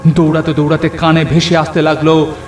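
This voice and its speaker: A man's voice: on the high side, flat delivery, fairly quick, music in the background, lightly acted. A man playing a young nephew who narrates a horror cartoon story in a dramatic way. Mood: terrified. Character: young nephew narrating his night of terror. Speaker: narrator and character. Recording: roomy echo, faint rain and thunder.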